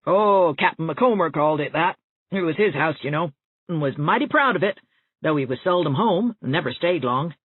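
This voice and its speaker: Woman voicing a husky, gossipy older village woman. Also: low voice, animated, fairly quick, phone-quality sound. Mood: gossipy, confiding. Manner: folksy, rambling, confiding. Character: chatty, gossipy older small-town woman, well-meaning busybody. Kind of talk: audiobook character dialogue. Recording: thin band-limited audio, roomy echo.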